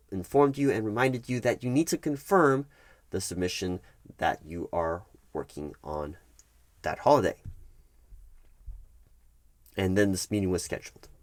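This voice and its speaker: A man acts out a patronizing HR manager in an ASMR roleplay. His voice is low and recorded dry and close, with little room sound. He explains things in a bureaucratic, insistent way, often restarting and repeating himself. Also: no echo. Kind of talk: one-sided ASMR roleplay, conversational.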